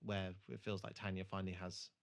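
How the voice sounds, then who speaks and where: A man's voice: low-pitched, flat delivery, a little fast, gravelly. A man co-hosting a podcast, chatting casually and swearing freely about a TV show. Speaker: podcast host.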